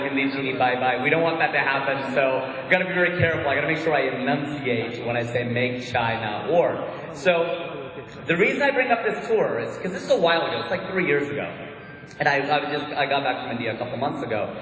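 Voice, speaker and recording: A man's voice: medium pitch, a bit bright. A man from Ohio with a Midwestern American accent doing a chatty, self-mocking stand-up comedy set. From Ohio, USA. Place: large hall with audience. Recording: echoey hall.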